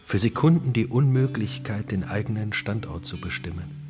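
A man's voice: lowish voice, expressive, moderate pace, darkish tone, soft background music, close to an everyday voice. An actor playing a brooding, intense male narrator of a prisoner's inner life in a literary radio drama. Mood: brooding, hushed.

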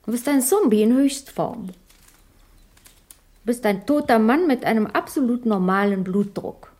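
An actress playing a bitter, sarcastic wife in a 1980s radio drama. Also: female voice, on the high side, lively intonation, normal speed, a little mellow. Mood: scornful. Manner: biting, sarcastic. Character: bitter, scornful wife, failed playwright.

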